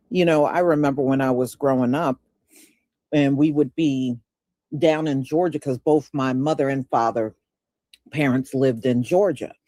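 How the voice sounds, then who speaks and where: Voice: medium pitch, dark tone, dry recording. A show host giving casual commentary and telling folksy stories with conversational asides.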